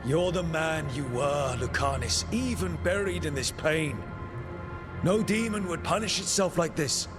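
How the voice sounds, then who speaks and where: An actor playing a loyal, resolute video game hero, speaking in an earnest, reassuring manner. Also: male voice, fairly high pitch, animated, a little slow, music in the background. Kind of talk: video game character acting.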